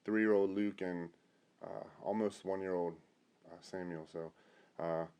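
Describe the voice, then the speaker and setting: Guy, middle aged pastor host, deep-ish relaxed voice, kinda halting and chatty. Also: smooth. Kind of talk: conversational interview.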